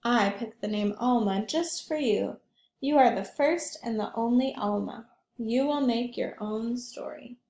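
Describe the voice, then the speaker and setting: A woman voicing a gentle, loving storybook father who tells family history in a measured, tender way. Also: fairly high pitch, echoey room. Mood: gentle, reassuring.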